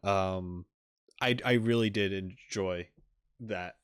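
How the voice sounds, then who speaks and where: A man's voice: deep voice, slow pace, clean tone, dry recording, clean studio sound. A man doing casual gaming commentary as a streamer, reacting in short asides as he plays. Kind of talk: casual game playthrough commentary.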